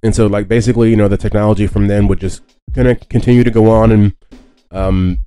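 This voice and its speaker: A man with a low, mellow voice hosts a history podcast. He explains things casually, feeling his way through sentences and often rewording as he goes. Faint music sits behind him. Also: flat delivery, smooth. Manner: halting, conversational, often rephrasing himself. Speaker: podcast host.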